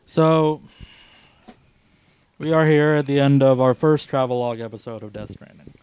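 Male podcast host, mid-pitched, casual gamer chat with profane, teasing banter. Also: normal speed, darkish tone, clean tone, no echo. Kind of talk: casual chat while gaming.

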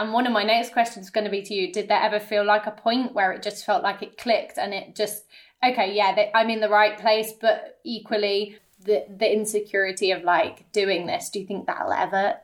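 A woman with a medium-pitched voice hosts a conversational interview. She is effusive and enthusiastic, chatting casually as she builds up to her questions. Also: fairly even intonation, fairly quick. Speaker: podcast host.

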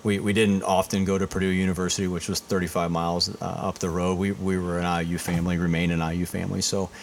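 Middle-aged male guest from Indiana, very deep and flat voice, rambling and repetitive, faint hiss. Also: quick pace. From Indiana, USA. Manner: rambling, repeats words while thinking. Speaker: guest, high school principal. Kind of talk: casual interview.

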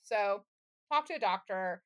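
A woman's voice: medium pitch, animated, a little slow. Chatty, irreverent woman podcaster. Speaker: podcast co-host. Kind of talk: casual, profane podcast chat.

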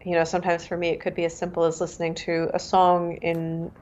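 A Canadian-born woman author, first language Mandarin, speaking in a thoughtful, measured way with fillers and restarts. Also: fairly low pitch, completely flat delivery, darkish tone, highs cut off. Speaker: author and guest. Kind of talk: reflective interview talk.

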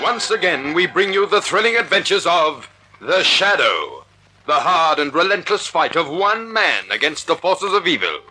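A man's voice: a little high, big pitch swings, moderate pace, sharp tone, soft background music, poor audio. A man narrating a 1940s-style radio drama as announcer, emphatic, formal and declamatory. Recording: vintage radio broadcast recording.

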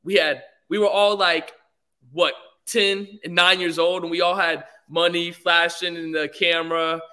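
A man's voice: a little high, flat delivery, measured pace, pushed and bright, smooth. A young man from England, a guest on a podcast, talking politely and easygoingly with many fillers. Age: young adult. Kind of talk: casual interview.

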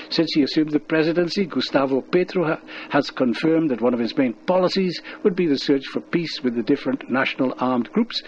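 Male radio newsreader, mid-pitched, scripted delivery over a muffled shortwave signal with faint noise. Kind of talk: scripted news reading. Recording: dull noisy shortwave radio broadcast.

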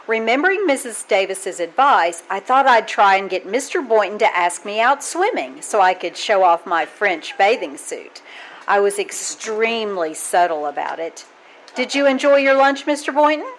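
A woman's voice: mid-range voice, moderate pace, a little hiss or hum, lightly acted, low-quality recording. Woman acting a wry, lilting schoolteacher. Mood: coy.

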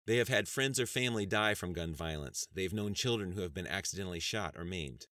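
A man's voice: low-pitched, brisk, a bit bright, no room sound, clean studio sound. A man voicing a prim, indignant woman official with an insistent, rehearsed manner in a narrated novel. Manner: rehearsed, insistent, sometimes squealing. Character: prim, indignant female gun-control official.

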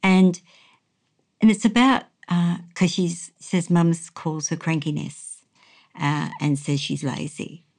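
A woman hosting a podcast in a measured, conversational way. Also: lowish voice, fairly even intonation, a little mellow. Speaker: podcast host. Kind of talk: measured podcast presenting and chat.

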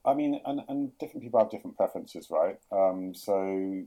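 A middle-aged man with a London accent, talking through his career in a podcast interview, often pausing with fillers and restarting sentences. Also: sits low, expressive, warm tone. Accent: London English. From England. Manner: hesitant, many fillers and restarts. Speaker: guest, product executive.

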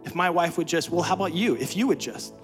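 A male pastor preaching in a church, rising from hushed, low lines to loud, climbing shouts of exhortation. His voice sits a little high for a man, and soft music plays under him. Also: expressive, quick pace. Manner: builds to loud, high-pitched preaching then drops low and quiet. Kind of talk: sermon. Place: church sanctuary.

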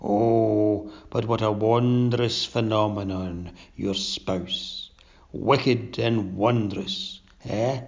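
A man voicing a pompous, sinister undead sea captain, speaking in a low, slow, drawn-out rasp. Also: fairly even intonation, heavily acted. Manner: pompous, sly oration. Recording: dull lo-fi recording.

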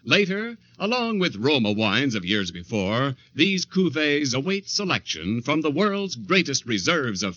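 A man with an American accent reading a scripted 1940s radio advertisement in a polished, deliberate announcer's style. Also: mid-range voice, sharp tone, no room sound. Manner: polished, deliberate salesman delivery. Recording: dull, dry 1940s radio recording.

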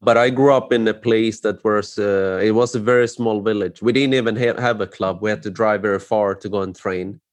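A man's voice: fairly low pitch, steady tone, fairly quick, hardly any pauses. A man from Sweden hosting an interview, asking curious, rambling questions peppered with "like" and "you know". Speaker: podcast host. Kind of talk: casual interview chat.

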